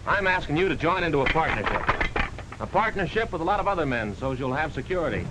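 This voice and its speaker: A man's voice: a little high, big pitch swings, fast talker. An actor in a 1940s film playing an earnest small-town male insurance salesman, folksy, patient and persuasive. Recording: noisy 1940s film soundtrack.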